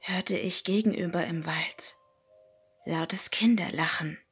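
Slow, bright-voiced woman telling a scary story. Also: fairly low pitch, slightly rough. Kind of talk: first-person scary story narration. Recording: music behind the voice. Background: background music.

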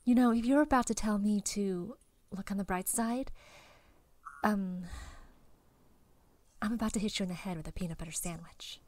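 A woman's voice: mid-range voice, normal speed, darkish tone. A woman dubbing a guarded, steely female spy with buried tenderness in an amateur dramatic film fandub. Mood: sad, subdued. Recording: music behind the dubbed voice.

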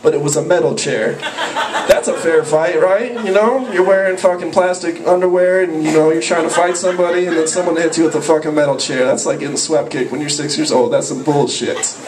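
A man's voice: on the high side, fairly quick, crowd noise. A young man performing open mic stand-up on a handheld mic, rambling and profane, jumping into yelped character voices. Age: young adult. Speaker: stand-up comedian. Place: small cafe with a live audience. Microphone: handheld mic, filmed from a far camera. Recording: roomy, distant camera audio with room noise. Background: audience laughter.

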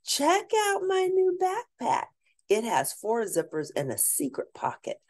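A woman voicing an excited mother showing off her new backpack, in a high, bubbly voice. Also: measured pace, heavily acted.

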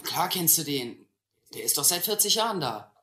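A cheeky vagabond boy in a radio drama. He is quick-witted and bluffs freely, in a bright, forward voice with a roomy sound. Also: fairly high pitch, sing-song. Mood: brazen bluffing. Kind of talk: German radio drama acting.

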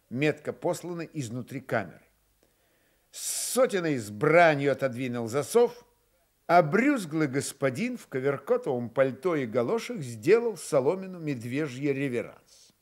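A man's voice: a little high, big pitch swings, unhurried. A man reading scripted literary narration for a radio drama.

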